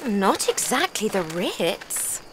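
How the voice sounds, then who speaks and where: Lively, teasing, inquisitive young lady. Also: mid-range voice, takes it slowly, very noisy. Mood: teasing, curious. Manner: playful, teasing, pert. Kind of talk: full-cast audio drama dialogue. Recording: music behind the voice.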